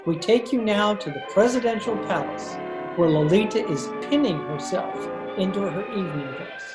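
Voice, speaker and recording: A woman's voice: mid-range voice, expressive, normal speed, close to an everyday voice. A woman acting as a wry male hustler in an old-time style radio drama, sardonic, recorded with echo over music. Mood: sardonic narrating. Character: wry, wisecracking male hustler telling his own misadventures. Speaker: lead actor and narrator. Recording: echoey video-call recording.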